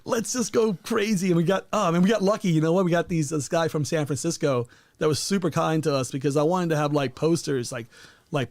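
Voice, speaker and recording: A man's voice: on the high side, no echo. A man in his fifties from Colombia, a native Spanish speaker, chatting casually as a guest, laughing and rambling a lot. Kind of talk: casual chat.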